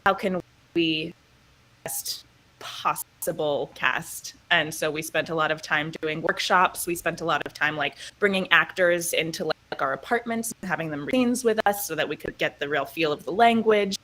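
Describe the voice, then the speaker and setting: A woman who voices a character and produces the show, chatting lively in an interview and laughing often. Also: sits low, normal speed, a little hiss or hum, poor audio. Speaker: voice actor and producer. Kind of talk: casual interview chat.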